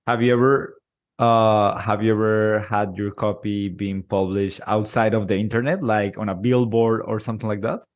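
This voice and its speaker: Man, podcast host, lowish voice, curious questions, short reactions. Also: steady tone, moderate pace. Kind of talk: casual interview questions.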